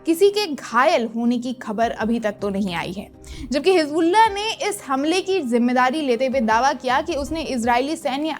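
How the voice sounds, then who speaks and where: A woman presenting a scripted news explainer in the manner of a narrated report. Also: high-pitched. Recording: music bed under the voice. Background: background music.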